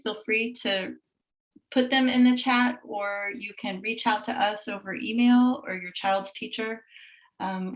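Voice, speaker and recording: A woman with a mid-pitched voice runs an online meeting over a video call. She sounds friendly and upbeat, talking casually as she guides the attendees. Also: a bit bright, low-quality recording. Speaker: meeting facilitator.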